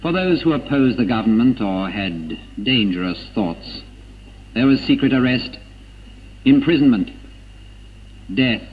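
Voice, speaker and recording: A man's voice: medium pitch, expressive, slightly rough. Dull vintage male newsreel-style narrator. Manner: grave, emphatic, dramatic pauses. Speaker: documentary narrator. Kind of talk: 1940s propaganda film narration, scripted. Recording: dull 1940s film soundtrack. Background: music.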